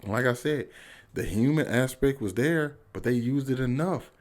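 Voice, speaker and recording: A man's voice: medium pitch, moderate pace. An American man giving a casual, unscripted movie review to viewers, enthusiastic and slangy, repeating phrases for emphasis.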